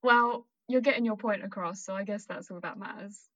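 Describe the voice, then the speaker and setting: A woman's voice: medium pitch, fairly quick, fairly bright tone, dull audio. A young woman interviewing a friend in a casual chat, asking long, rambling, curious questions.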